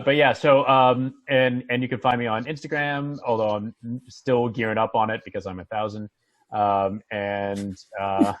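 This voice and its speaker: American guy just rambling casually, lowish voice, kinda dull dry call audio. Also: no room sound. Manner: rambling, conversational. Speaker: actor and guest on a video call. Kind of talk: casual chat. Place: at home on an online video call.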